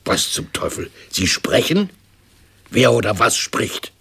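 An actor playing an ailing, scornful and impatient uncle of eighty in a radio drama, grumbling and firing sarcastic questions. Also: male voice, medium pitch, sing-song, takes it slowly, vocal fry, fairly bright tone, slightly rough, whispered, full character voice. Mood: scornful, exasperated. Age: older.

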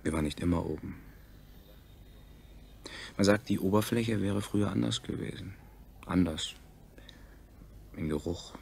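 Very deep, gravelly male chronicler. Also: slight background noise. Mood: grave, reflective. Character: solemn chronicler of a subjugated future people. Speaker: narrator. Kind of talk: scripted radio drama narration.

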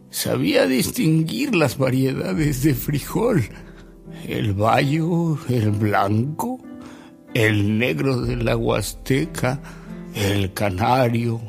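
A man's voice: medium pitch, creaky, heavily acted. An actor with a Mexican accent playing a fond, amused storyteller who savors his memories in a radio drama. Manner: unhurried, savoring anecdotes, chuckling delivery. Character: Don Alberto, fond, amused old storyteller reminiscing about a bishop.

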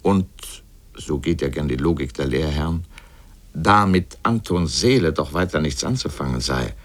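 A man's voice: rumbling low, animated, normal speed. A man delivering scripted essayistic commentary in a literary radio piece, with dry, ironic and erudite phrasing.

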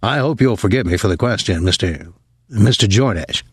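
A man voicing a nervous, apologetic older military academy colonel in an audiobook, speaking formally and haltingly with some embarrassment. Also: on the low side, expressive, slightly rough, dry recording, clean studio sound. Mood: formal, embarrassed.

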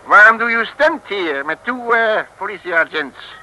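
High, brash radio detective. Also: male voice, lively intonation, unhurried, pushed and bright, heavily acted. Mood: blustering. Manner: colloquial, slangy, blustering. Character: brash, cocky, bumbling male Dutch detective. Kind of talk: 1960s Dutch comic radio serial acting. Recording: noisy, vintage radio recording.

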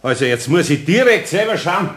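Lively, grumbly Bavarian master craftsman. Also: fairly high pitch, brisk. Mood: exasperated. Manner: grumbling, matter-of-fact. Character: grumbly, good-natured older master carpenter, sceptical and practical. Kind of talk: radio play acting. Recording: roomy studio radio play.

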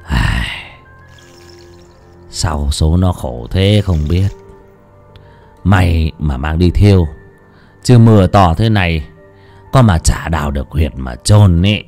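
A weary old clan elder sighs and speaks slow and low, his words heavy with sorrow, as a narrator puts him on. Soft music plays underneath. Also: expressive, full character voice. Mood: sorrowful.

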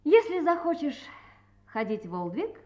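An actress playing a spirited, playful young woman in an audio drama, frank, affectionate and expressive. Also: high-pitched, a little slow. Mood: teasing, possessive. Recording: slightly dull audio with music.

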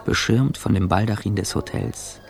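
An actor playing an anxious, self-accusing traveller in a radio drama, speaking an intimate, brooding inner monologue. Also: male voice, on the low side, moderate pace, vocal fry. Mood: feverish, wistful. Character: anxious, self-accusing male business traveller, inwardly narrating.

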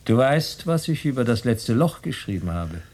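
An actor in a German radio play, playing a once-stuttering comedy figure who now calls himself a philosopher and the angel of death, speaking in theatrical declaiming rhymes. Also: male voice, medium pitch, sing-song, normal speed, a little mellow. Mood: menacing. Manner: theatrical, declaims rhymes, switches languages in farewells. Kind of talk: 1990s radio play acting.

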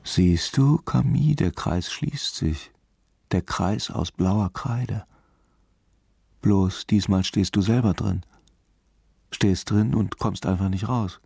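Brooding, taunting inner voice, very low and creaky, intimate radio narration over music. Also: male voice, slow pace, warm tone, dry recording, studio quality, exaggerated voice. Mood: quietly taunting.

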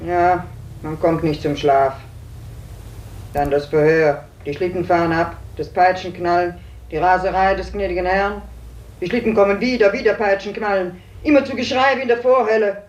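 A woman's voice: lowish voice, fairly even intonation, moderate pace, highs cut off, lightly acted. An actress playing a callous, self-pitying servant woman in a 1950s German radio play, complaining. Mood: grumbling. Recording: roomy, distant 1950s radio recording.

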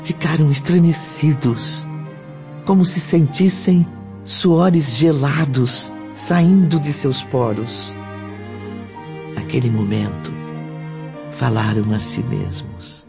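A man narrating a radio drama from a script in a solemn, dramatic, deliberate way. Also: on the high side. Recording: dull lo-fi audio, music behind. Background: music.

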